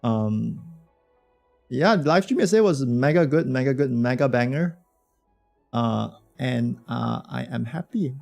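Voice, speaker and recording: A man streaming a game with a hoarse voice from a cold, chatting loosely and slangily with his viewers. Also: medium pitch, animated, measured pace, darkish tone, soft background music. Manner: slangy, rambling, talks to chat. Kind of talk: casual livestream chat reacting to a game.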